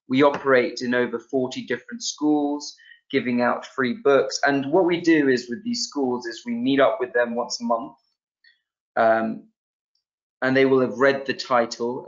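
A young man presenting an online teaching webinar, talking loosely with many ums and restarts, from a small room over a video call. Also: medium pitch. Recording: dull lo-fi video-call sound.

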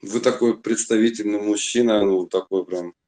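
A man's voice: on the low side, fairly even intonation, normal speed, dark tone. Middle-aged guy from donetsk rambling thru his story on a video chat, lots of fillers. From Donetsk, Ukraine. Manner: rambling, full of fillers and restarts. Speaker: chat roulette guest. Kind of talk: long personal storytelling. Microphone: webcam video call.

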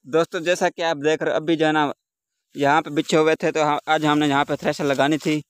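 A man's voice: on the high side, level tone, brisk, smooth, dry recording. A young man from Pakistan talking to a handheld camera outdoors in a sunny farm village, chatting casually to his viewers and repeating stock vlog phrases as he works. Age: young adult. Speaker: village vlogger. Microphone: handheld camera at arm's length.

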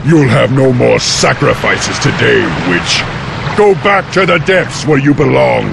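An actor playing a bold young orc warchief in a fantasy game, gravelly and commanding. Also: male voice, mid-range voice, expressive, unhurried, noisy background. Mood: defiant. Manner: commanding, declarative. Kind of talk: heroic fantasy game acting. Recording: voice over game music.

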